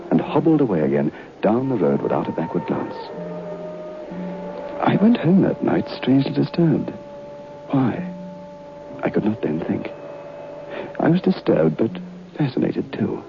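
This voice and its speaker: An actor playing a haunted, curious former student who narrates a vintage radio horror tale in a deliberate, literary, brooding way. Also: male voice, sits low, gravelly, heavily acted. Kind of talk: dramatic first-person horror narration. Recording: muffled, noisy old radio recording.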